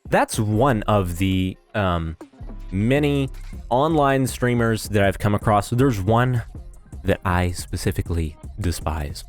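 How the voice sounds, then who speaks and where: A man's voice: deep voice, a little mellow. A man casually critiquing audio setups in a blunt, rambling way, often restarting and repeating himself. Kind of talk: casual opinion commentary.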